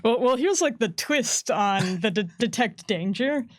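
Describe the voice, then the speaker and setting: A woman chatting casually about tabletop game rules, laughing often and acting out quick voices. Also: on the high side, animated, dry recording, studio quality. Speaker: game design staff co-host.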